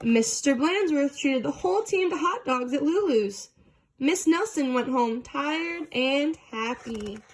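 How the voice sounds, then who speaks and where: A woman's voice: sits high, animated, slow pace, rough recording. A woman reading a children's storybook aloud as a narrator.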